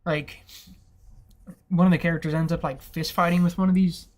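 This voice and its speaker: A man's voice: fairly high pitch, little pitch movement, moderate pace. A young man co-hosting a casual film podcast, rambling and swearing freely, laughing often. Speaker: podcast co-host.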